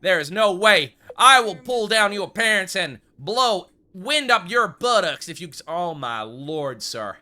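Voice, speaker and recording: A man's voice: mid-range voice, lively intonation, unhurried, bright, forceful tone. A man playing a fussy frontier gun shop owner in gameplay commentary, with a put-on American Old West accent and wordy, mock-formal courtesy. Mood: indignant, blustering. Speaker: gaming video creator.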